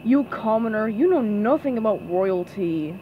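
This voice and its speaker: A woman's voice: high-pitched, very animated, measured pace, highs cut off, a little hiss or hum. A woman voicing a snobbish, gloomy male squid from a cartoon storybook, haughty and easily exasperated. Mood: scornful, alarmed. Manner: haughty, condescending.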